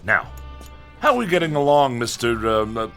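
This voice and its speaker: A pompous gentleman scholar in a radio comedy. His voice is bright and highly animated, with mannered, old-fashioned phrasing and an exasperated edge, over faint music. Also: normal speed. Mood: fussy, patient. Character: pompous, exasperated scholar enamored of the nineteenth century. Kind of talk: comic radio drama acting.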